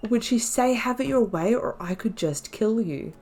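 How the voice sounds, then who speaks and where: A woman hosting a game playthrough, thinking aloud in casual, expressive commentary with emphatic repetition. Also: a little high, moderate pace, soft background music. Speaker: gaming video host.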